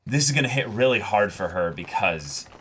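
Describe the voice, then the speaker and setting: A man co-hosting a reaction show, talking casually and thinking aloud in halting phrases as he discusses a show. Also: on the low side, lively intonation, fairly bright tone, slightly rough. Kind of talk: casual discussion of an anime episode.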